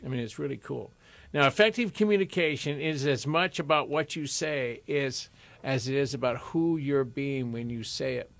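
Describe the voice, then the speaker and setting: A middle-aged man hosting a radio talk show in a studio, talking loudly and forcefully with jokes. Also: mid-range voice, normal speed, vocal fry, no room sound. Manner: loud, forceful, joking.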